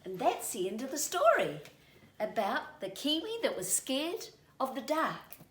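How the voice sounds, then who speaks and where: Female storyteller for young children: lively intonation, slow and deliberate, roomy recording with faint noise. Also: high-pitched, distant mic. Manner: expressive, deliberate.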